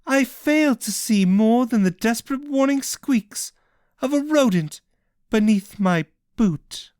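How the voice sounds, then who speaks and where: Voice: mid-range voice, animated, slow pace, darkish tone, dry recording, clean studio sound, voice pushed up. A storyteller voicing a cloaked woman villain who teases in a patient, cold way. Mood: gloating.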